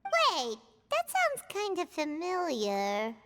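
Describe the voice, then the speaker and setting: Cheerful little childlike game companion: squeaky, very bright, swooping. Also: female voice, huge pitch swings, takes it slowly, a little hiss or hum, full character voice. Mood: bright, curious. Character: small cheerful childlike floating companion creature. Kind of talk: animated game voice acting.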